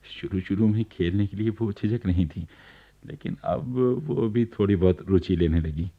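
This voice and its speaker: An actor playing an amused storyteller in a radio play, narrating in a wry, chatty way. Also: male voice, sits low, a little slow. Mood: amused, relishing. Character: amused male storyteller recounting neighbourhood gossip. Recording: dull, lo-fi archive radio recording.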